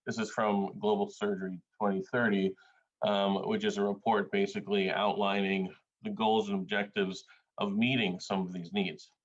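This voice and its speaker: A man originally from Bangladesh, a surgeon giving an academic panel presentation in a measured, hesitant way, with many filler sounds. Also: lowish voice, flat delivery, muffled recording.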